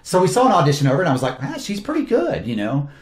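A man's voice: fairly high pitch, very fast. A man, a film director talking enthusiastically about his cast in an interview. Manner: enthusiastic, animated storytelling with quoted asides. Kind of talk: casual interview talk. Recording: roomy echo.

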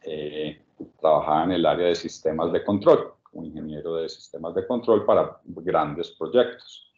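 A man in his forties with a Medellín Colombian accent, telling his life story on a video call in a relaxed way, with many restarts and pauses. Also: extremely low pitch. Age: 40s. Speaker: guest speaker at a workshop. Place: small room indoors. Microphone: webcam on a video call. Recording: echoey room, dull video-call sound.

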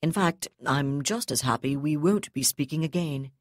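A woman reading the lines of a calm, contemptuous male naval captain in an audiobook, speaking slowly and evenly. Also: deep voice, no room sound, studio quality. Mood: coldly calm.

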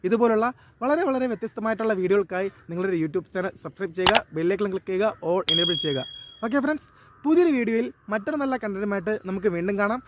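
A man's voice: sits high, expressive, fast talker, very noisy. A man explains things as the host of an electronics tutorial.

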